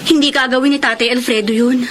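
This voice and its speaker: A woman's voice: high voice, steady tone, fast talker, close to an everyday voice. An actress playing a polite, emotional young woman working as a housemaid, in a radio drama. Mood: earnest. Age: young adult. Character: young woman, hurt but hopeful housemaid. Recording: noisy radio drama recording.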